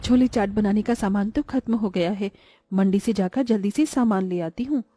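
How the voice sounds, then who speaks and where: A woman's voice: medium pitch, moderate pace, dark tone, lightly acted. A woman voicing a greedy, crafty mother who sells street food, with a persuasive manner, in a cartoon story. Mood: pleased, brisk. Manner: self-justifying, persuasive.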